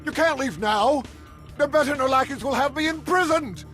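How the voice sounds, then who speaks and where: A man playing an entitled, cowardly king in a video game, pleading in shrill, panicked indignation. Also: normal speed. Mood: indignant panic. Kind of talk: video game character acting. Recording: loud music behind. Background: game soundtrack music.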